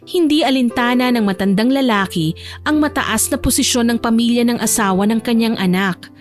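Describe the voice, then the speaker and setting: A woman narrating a scripted romantic audio drama as a storyteller. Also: on the high side.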